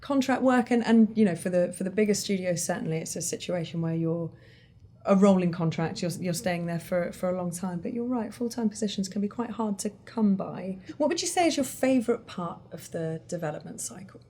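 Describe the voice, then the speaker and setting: A woman's voice: fairly low pitch, expressive, brisk, dark tone, a little hiss or hum. A woman hosting a casual interview, chatty and encouraging, with an enthusiastic way of talking. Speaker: host.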